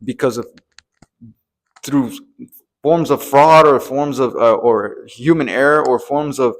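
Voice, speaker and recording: A man's voice: mid-range voice, takes it slowly, rough recording. A man, around his thirties or forties, explaining things as an advisor in an emphatic way, repeating key warnings and often checking in with listeners. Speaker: treasury advisor, presenter. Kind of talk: explanatory advice to camera for business owners.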